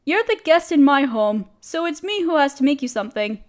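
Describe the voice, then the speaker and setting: A woman's voice: sits high, big pitch swings, brisk. A woman playing an overworked, apologetic young woman confiding hesitantly in a close friend in an intimate audio roleplay. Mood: flustered, protesting. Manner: self-deprecating, hesitant, casual. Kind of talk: roleplay audio drama, intimate one-sided conversation.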